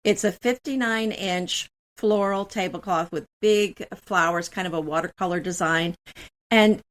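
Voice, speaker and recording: A woman's voice: lowish voice, unhurried. A woman co-hosting a casual home decor chat, describing finds in a friendly, conversational way. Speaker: co-host.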